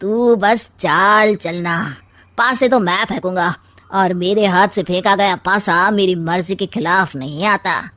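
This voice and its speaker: An actor playing a sly, scheming middle-aged uncle in a cartoon story, with smug, taunting, theatrically drawn-out rhyming quips. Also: male voice, sits high, bright, forceful tone, no room sound. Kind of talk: Hindi cartoon story acting.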